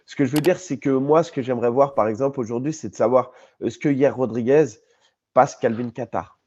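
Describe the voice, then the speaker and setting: A man's voice: mid-range voice, brisk, warm tone, no room sound. A French man co-hosting a casual podcast, talking with a cold and pressing his point in a debate. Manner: insistent, argues his point. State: has a cold, coughing. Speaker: podcast co-host. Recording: dull lo-fi sound.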